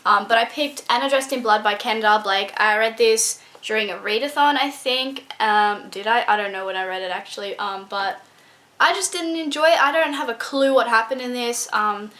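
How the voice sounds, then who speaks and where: Young woman, a bit high-pitched, chatty book vlogger, casual talk, plenty of filler words. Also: normal speed, pushed and bright. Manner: chatty, with many ums and likes. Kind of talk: casual chat to camera.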